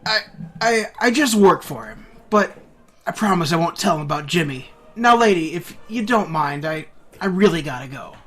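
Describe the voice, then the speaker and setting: A man's voice: fairly high pitch, expressive, normal speed, fairly bright tone, faint music behind. A man playing a flustered, evasive fake Santa who stammers through excuses in an audio drama.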